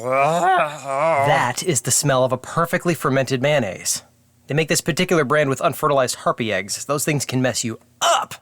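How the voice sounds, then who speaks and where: An actor playing an anxious, exclamatory menagerie worker who stammers, in an audio drama. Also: male voice, mid-range voice, animated, normal speed, a bit bright, no room sound, studio quality, lightly acted. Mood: wry. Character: frantic, anxious mayonnaise-brewing menagerie worker, prone to panicking.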